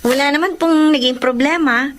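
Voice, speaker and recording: An actress with a Filipino accent playing an honest, dutiful young cashier, gentle and respectful, in a radio drama. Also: female voice, sits high, moderate pace, a little hiss or hum. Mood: respectful, hesitant. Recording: faint music behind.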